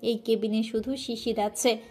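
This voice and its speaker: A woman narrating a scripted romantic audio story in a soft, emotive way. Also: a little high, steady tone, unhurried, breathy edge, faint music behind.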